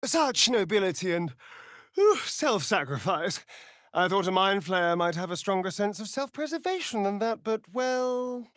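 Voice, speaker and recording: A man's voice: high-pitched, sing-song, moderate pace, fairly bright tone, dry recording, studio quality. A man playing a vain, sardonic vampire rogue in a fantasy video game, with an arch, flamboyant drawl. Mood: teasing.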